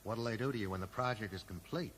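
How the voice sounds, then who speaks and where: A man's voice: fairly low pitch, quick pace, a bit bright, natural voice. An actor in a 1980s British radio drama playing a disillusioned, morally indignant man, terse, probing and sardonic. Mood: bitter, wary. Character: disillusioned, morally indignant man confronting his former best friend. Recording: radio drama recording with faint noise.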